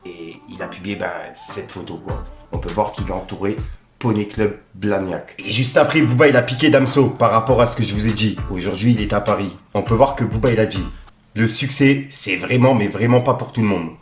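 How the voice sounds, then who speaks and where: Chatty low-voiced young man, echoey room. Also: fairly quick, faint music behind. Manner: chatty, many fillers like "en gros" and "tu vois". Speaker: host of a rap news channel. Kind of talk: casual news roundup.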